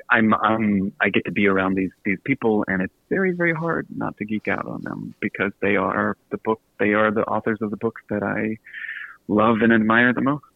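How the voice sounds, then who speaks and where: Male author guest, slightly low, effusive and casual, narrow phone-line sound. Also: no room sound. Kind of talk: casual radio interview.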